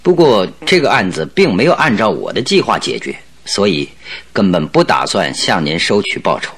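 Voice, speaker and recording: Courteous, self-deprecating master detective for radio drama; low register, animated intonation. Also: male voice, moderate pace. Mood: rueful, courteous. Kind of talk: Mandarin radio drama acting.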